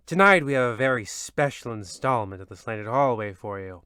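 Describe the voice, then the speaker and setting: Man playing crisp, theatrical horror radio narrator. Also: mid-range voice, expressive, pushed and bright, dry recording, clean studio sound. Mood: ominous. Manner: ominous drawn-out delivery with peevish asides. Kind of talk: old-time radio horror narration parody.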